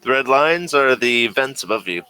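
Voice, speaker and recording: A man's voice: mid-range voice, expressive, moderate pace, pushed and bright, clean tone. A man acting as game master, talking casually through an online tabletop role-playing session.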